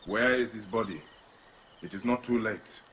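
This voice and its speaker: Man acting a deep-voiced stern Egyptian guardian. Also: moderate pace, a bit bright, a little hiss or hum, close to an everyday voice. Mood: grave, measured. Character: gruff, earnest male protector of common folk in ancient Egypt. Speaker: lead character. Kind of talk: dramatic video game acting.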